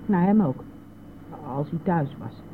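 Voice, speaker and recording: A woman's voice: lowish voice, unhurried, lightly acted. Actress as older mother-in-law, stubborn, terse, defensive, radio drama, thin narrow-band audio, faint vehicle noise. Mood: reticent, stubborn. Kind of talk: Dutch radio play acting.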